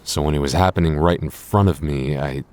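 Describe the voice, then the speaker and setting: A man's voice: a bass voice, fairly quick, darkish tone. A man playing a jealous, apologetic boyfriend in an audio roleplay, speaking earnestly with hesitant stammers. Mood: agitated, earnest. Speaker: voice actor.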